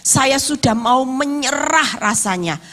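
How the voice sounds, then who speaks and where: A middle-aged woman with an East Javanese Indonesian accent preaching a sermon emphatically, her voice slightly hoarse. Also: high-pitched, animated, slow pace, fairly bright tone. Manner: emphatic, with rhetorical questions and acted-out quotes. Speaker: evangelist, guest preacher.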